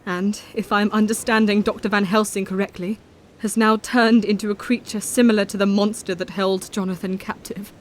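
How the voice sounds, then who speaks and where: A woman's voice: medium pitch, steady tone, brisk, a little hiss or hum, lightly acted. A woman playing an earnest, resolute Victorian wife. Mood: earnest, grim. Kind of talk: audio drama acting, period speech.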